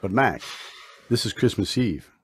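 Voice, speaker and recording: A man playing a solemn, gentle, idealistic young intern doctor in old-time radio style, with formal, earnest phrasing. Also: fairly low pitch, animated, a little slow, slightly rough, dry recording, exaggerated voice. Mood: solemn, pleading.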